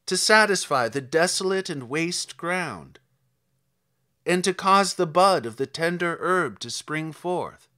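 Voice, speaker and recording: A man's voice: high-pitched, bright, forceful tone, exaggerated voice. A man reading scripture as a stern, commanding God, declaiming solemn rhetorical challenges in archaic English.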